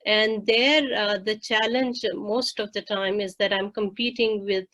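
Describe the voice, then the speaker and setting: Woman, measured explainer. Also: mid-range voice, steady tone, a bit bright, lo-fi sound. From India. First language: Hindi. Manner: measured, explanatory, with frequent fillers. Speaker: faculty member. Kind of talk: roundtable discussion.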